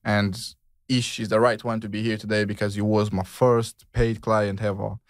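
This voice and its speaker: A young man from Portugal hosts his podcast in a loose, rambling way, full of slang and fillers. His voice sits a little low. The sound is dry and close. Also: level tone, normal speed, dry recording. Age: 20s. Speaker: podcast host. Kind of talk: casual chat.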